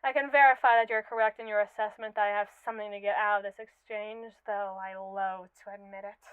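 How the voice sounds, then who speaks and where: A woman's voice: mid-range voice, fairly even intonation, fairly quick, phone-quality sound, a little hiss or hum, lightly acted. A woman voicing a haughty, manipulative captive robot in a scripted ASMR roleplay, speaking in a pompous, wordy, theatrically indignant way. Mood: sly, condescending.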